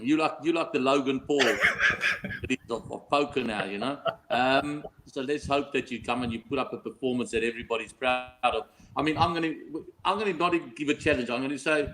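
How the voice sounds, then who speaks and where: A man's voice: mid-range voice, normal speed, pushed and bright, a little hiss or hum, rough recording. A South African man chatting casually as a betting guest, bantering and often ending on tag questions. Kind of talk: casual chat.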